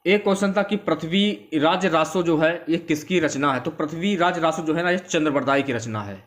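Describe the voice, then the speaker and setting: Higher-pitched man teaching. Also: fairly quick, fairly bright tone. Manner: explanatory, repeats key phrases and reminders to students. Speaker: exam-prep teacher. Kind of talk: informal lecture going through exam questions and answers.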